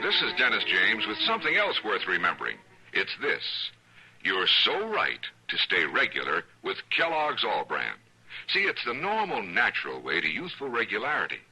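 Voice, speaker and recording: Animated, forceful American radio announcer. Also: male voice, fairly low pitch, moderate pace, husky, faint music behind, low-quality recording. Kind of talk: 1950s radio announcing and ad reading. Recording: old radio recording.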